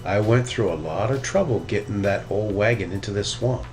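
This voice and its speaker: A man's voice: lowish voice, fairly quick, slight background noise, lightly acted. A man voicing a kindly, wise old grandfather bear with a folksy, teasing manner in a children's storybook. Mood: patient, reflective.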